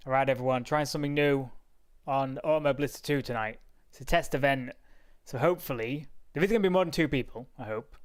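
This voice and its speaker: A man's voice: medium pitch, fast, clean tone. Casual man streaming a racing game. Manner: rambling, self-talk while clicking through menus, frequent laughs. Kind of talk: casual live-stream commentary.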